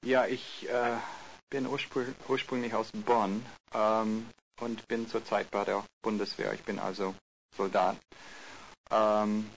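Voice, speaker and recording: A man's voice: lowish voice, flat delivery, slow. A man narrating a language course and acting out polite interviews, with many hesitation fillers, on a lo-fi recording. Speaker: course narrator and role-play speaker. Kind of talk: scripted language-course dialogue. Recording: lo-fi tape with faint hiss.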